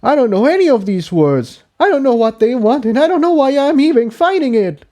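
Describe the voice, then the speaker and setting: A man's voice: very high voice, sing-song, a little fast, mellow, voice pushed up. A man voicing an anguished, angry young male unicorn pony in a fantasy story, agitated and argumentative. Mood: frustrated.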